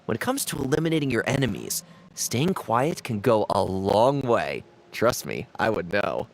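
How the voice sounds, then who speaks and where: Very animated young stealth operative. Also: female voice, extremely low pitch, normal speed, vocal fry, heavily acted. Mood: advising. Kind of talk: video game voice acting.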